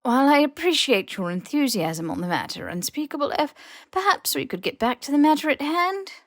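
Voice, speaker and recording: A woman's voice: sits high, big pitch swings, a little fast, a bit bright, dry recording, studio quality, pitched above the performer's own voice, heavily acted. A woman voicing a stern, proper older headmistress with a formal, authoritative manner in an audiobook. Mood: brisk reproof.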